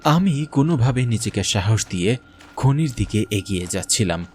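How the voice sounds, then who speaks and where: A man's voice: fairly low pitch, lively intonation, normal speed, a little mellow, a little hiss or hum. A man giving a scripted first-person narration of a horror story, as a government officer looking back. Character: haunted government officer recounting his mine visit.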